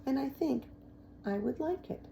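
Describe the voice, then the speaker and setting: A woman's voice: sits high, lively intonation, normal speed, creaky, mellow, a little hiss or hum, pitched above the performer's own voice. A woman voicing an earnest, nervous schoolgirl in a storybook, speaking hesitantly and honestly.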